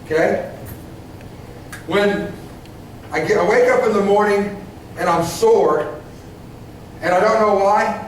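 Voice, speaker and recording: A man in his forties preaching a sermon as pastor, halting and full of restarts, often asking the congregation questions. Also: high voice, slight background noise. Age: 40s. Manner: halting, many restarts and stammered repeats; conversational, asks the congregation questions. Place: church sanctuary. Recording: very echoey church, voice from far off.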